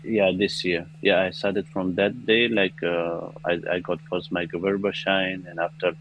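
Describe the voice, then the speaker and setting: A man's voice: sits low, level tone, moderate pace, phone-quality sound. A man from Afghanistan with an Afghan accent, answering interview questions casually in simple, hesitant English with repeated words. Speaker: interview guest, student. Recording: noticeable background noise.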